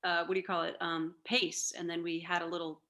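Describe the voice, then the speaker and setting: A woman's voice: fairly low pitch, flat delivery, fairly quick, sharp tone. A woman in her forties chatting casually on a video call, rambling along with plenty of fillers. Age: 40s.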